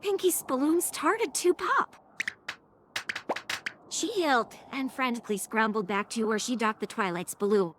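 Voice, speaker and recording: High-pitched synthetic woman storyteller. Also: measured pace, noisy background. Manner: synthetic text-to-speech reading. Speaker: narrator. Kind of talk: scripted story narration.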